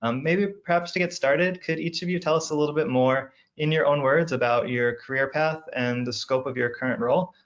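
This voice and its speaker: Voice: mid-range voice, brisk, few pauses, lo-fi sound. A man hosting an online career webinar, reading prepared remarks and introductions with a few repeated words. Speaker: webinar host.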